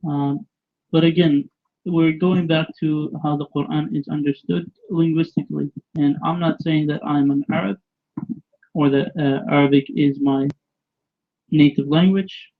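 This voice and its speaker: A man calling into an online debate, answering in a hesitant, measured way with many fillers. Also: fairly high pitch, fairly even intonation. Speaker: debate caller. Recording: tinny phone-like call line.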